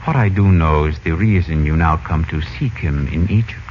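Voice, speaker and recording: Deep-voiced, courtly, mysterious Egyptian prince. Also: male voice, slight background noise. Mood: guarded, courtly. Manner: formal, poetic, deliberate phrasing. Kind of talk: 1940s radio drama acting. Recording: muffled old radio recording.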